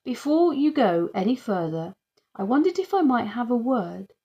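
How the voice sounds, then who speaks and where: A woman's voice: fairly high pitch, sing-song, normal speed, dark tone, muffled recording, voice pushed up. A woman voicing a pompous, formal male neighbour who captains the neighbourhood watch, in a children's audiobook. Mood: pompous, polite.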